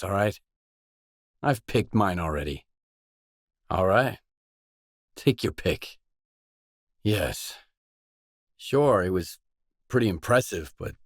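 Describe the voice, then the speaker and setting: Guarded stalker mercenary, video game dialogue, lowish male voice, terse, dry delivery, very dry studio sound. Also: no room sound, heavily acted. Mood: wary, dry.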